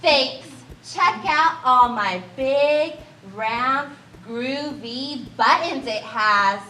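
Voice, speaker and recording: A woman's voice: high-pitched, very slow, pushed and bright, slight background noise, heavily acted. A woman voicing a cheerful, easygoing male cat puppet in a live library puppet show, sing-song and upbeat. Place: large echoey library room. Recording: echoey room, children in audience.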